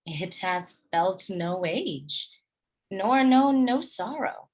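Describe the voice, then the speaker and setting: An actress playing a gentle, trusting young noblewoman and wife, speaking earnest, courteous lines in a Shakespearean radio play. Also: medium pitch, lively intonation, drawn-out words. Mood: gentle courtesy.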